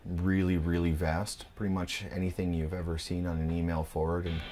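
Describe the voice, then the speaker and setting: Low, flat-voiced laid-back man. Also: slight background noise. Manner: laid-back, unhurried, frequent "uh" fillers. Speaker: tattoo and piercing shop owner. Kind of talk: documentary interview. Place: his tattoo shop.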